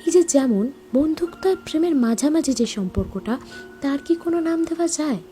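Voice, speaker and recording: A woman giving a reflective, sentimental scripted introduction to a story, with dramatic pauses. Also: high-pitched, lively intonation, dark tone, faint music behind. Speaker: introducer, narrator.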